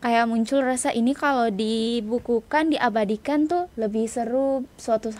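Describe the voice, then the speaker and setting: A woman's voice: on the high side, normal speed, darkish tone. A young woman, answering casually as an interview guest, informal and searching for her words. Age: young adult. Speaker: interview guest, student writer.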